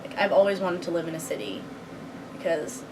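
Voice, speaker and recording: A woman's voice: fairly low pitch, fairly quick. A young woman from Boston answering interview questions casually, with lots of informal filler words like "like". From Boston, United States. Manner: informal, peppered with "like". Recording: clear room hum, air conditioning.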